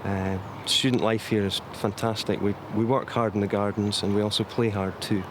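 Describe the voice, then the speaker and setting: A man's voice: fairly low pitch, steady tone, normal speed, noisy background. A young Scottish man, a horticulture student, speaking with hesitant fillers. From Scotland.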